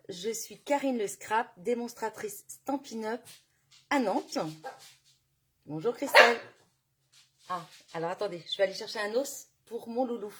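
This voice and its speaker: Chatty woman with a cold, slightly high. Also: slight background noise. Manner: chatty, warm greetings, many fillers and restarts. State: has a cold, sore throat. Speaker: craft demonstrator hosting a live stream. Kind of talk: casual live-stream chat, greeting viewers by name.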